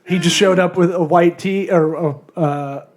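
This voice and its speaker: Male podcast host, notably high-pitched, mumbly and jokey, loose interview banter at his own expense. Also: steady tone, unhurried. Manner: self-deprecating, joking, profane. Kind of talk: casual comedic interview chat.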